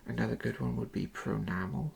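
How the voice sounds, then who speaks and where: A person doing an ASMR dentist roleplay in a soft, breathy, creaky whisper, giving calm and reassuring instructions to a patient. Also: mid-range voice, a little fast.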